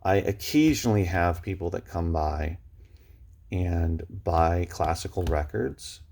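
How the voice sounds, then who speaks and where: Very deep-voiced man, casual and low-key. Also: animated, slow pace, vocal fry, darkish tone. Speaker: online book and media reseller sharing recent sales. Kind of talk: casual vlog talk, showing items. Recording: noticeable background noise, clicks.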